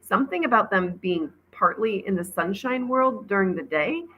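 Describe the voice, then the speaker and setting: Woman reading as a wary thoughtful girl, lively intonation. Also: medium pitch, normal speed, narrow, tinny audio, lightly acted. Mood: uneasy. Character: thoughtful, wary, slightly awkward girl leader.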